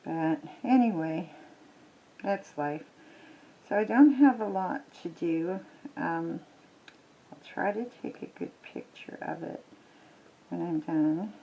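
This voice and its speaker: Croaky older woman chatting slowly. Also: fairly low pitch, lo-fi sound. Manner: rambling, chatty, many ums and restarts. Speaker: crafting vlogger. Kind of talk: casual chat while crafting. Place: small home.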